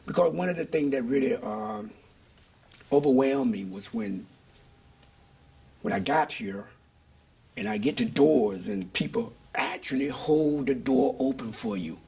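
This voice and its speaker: An older man answering interview questions in a reflective, measured and deliberate way. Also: mid-range voice, expressive, vocal fry, a little mellow, husky.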